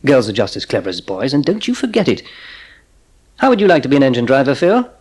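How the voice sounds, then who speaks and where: A man's voice: mid-range voice, huge pitch swings, fast talker, darkish tone, breathy edge. An actor playing a kindly, jovial father with a hearty, old-fashioned manner in an audio drama. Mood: cheerful, reassuring. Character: kindly, jovial middle-class English father.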